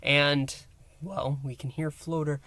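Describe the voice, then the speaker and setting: A man hosting a review and recap, speaking in an emphatic, theatrical way with dramatic pauses. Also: on the high side, steady tone, bright, forceful tone, dry recording. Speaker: host, reviewer. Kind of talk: enthusiastic scripted-style recap and review to listeners.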